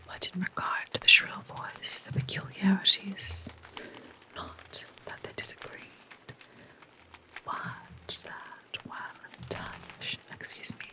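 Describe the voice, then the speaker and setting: ASMR reader doing a methodical male detective, whispered, deliberate, storybook tone. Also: natural voice, poor audio. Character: calm, methodical male detective reasoning aloud.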